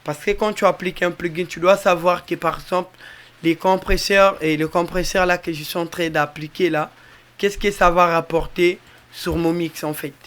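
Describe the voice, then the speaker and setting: A man with a Congolese French accent giving an informal music mixing tutorial in a halting, repetitive way, addressing viewers directly. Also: high-pitched, fairly even intonation, fairly bright tone. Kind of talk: informal tutorial with on-the-spot demonstration.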